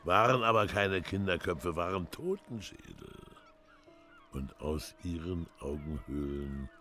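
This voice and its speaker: Old male villager, deep slow voice, ominous rambling storyteller, radio play, music underneath. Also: sharp tone. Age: older. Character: old doom-saying North Frisian villager telling omens. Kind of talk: German radio drama acting. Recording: music behind the voice.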